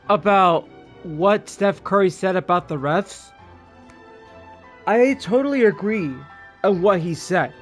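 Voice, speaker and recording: A man streaming a game and giving a casual, blunt, sweary rant with emphatic opinions. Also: high-pitched. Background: game music.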